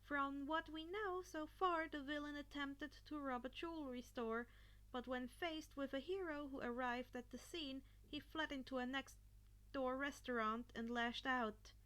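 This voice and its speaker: A grim female news reporter voiced by a story reader with a high voice. She reports formally and in measured phrases, as in an audiobook scene. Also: steady tone, a bit bright, rough recording. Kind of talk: fan fiction audiobook character voice.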